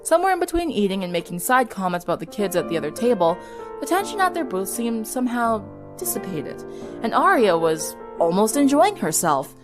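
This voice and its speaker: A woman narrates fiction in an expressive, scripted style. Her voice sits at an ordinary female pitch, with music clearly playing underneath. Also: a little fast. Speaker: story narrator.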